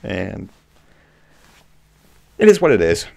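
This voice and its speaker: A man hosting a live movie chat, slightly hoarse with a cough, talking casually with lots of ums. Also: fairly low pitch, slow pace. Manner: relaxed, frequent ums and restarts. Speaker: livestream host. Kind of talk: casual live chat reading viewer comments.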